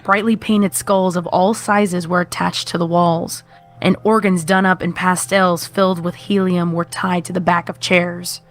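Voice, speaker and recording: A woman's voice: lowish voice, level tone, a little slow, faint music behind. A woman reading scripted horror fiction as the narrator.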